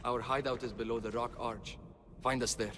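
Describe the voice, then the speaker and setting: A man's voice: mid-range voice, steady tone, normal speed, noisy background, natural voice. An actor playing an earnest, idealistic young Persian man, a rebel's son, pleading his case in a video game. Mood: concerned. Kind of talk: dramatic video game acting.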